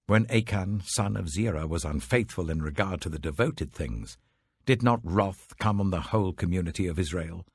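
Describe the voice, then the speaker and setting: A man voicing an indignant Israelite envoy in a scripture reading, delivering forceful, accusatory oratory. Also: low-pitched, normal speed, husky, no echo, studio quality. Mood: warning.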